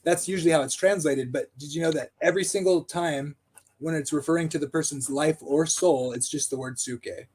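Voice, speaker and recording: Husky man reading and musing aloud. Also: a little high, darkish tone. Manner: thinks aloud, halting, with frequent fillers. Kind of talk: casual discussion, reading a text aloud.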